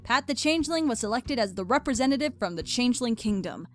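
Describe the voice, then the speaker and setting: A woman's voice: fairly high pitch, expressive, fast talker, fairly bright tone, soft background music. A woman reading scripted story narration.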